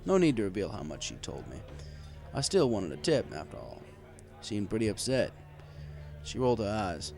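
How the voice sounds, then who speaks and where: A man with a fairly low voice reads first-person fiction. His delivery is wry and conversational, and his pitch moves up and down in a lively way as he tells it. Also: moderate pace, vocal fry. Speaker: story reader. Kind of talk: first-person scripted fan fiction narration.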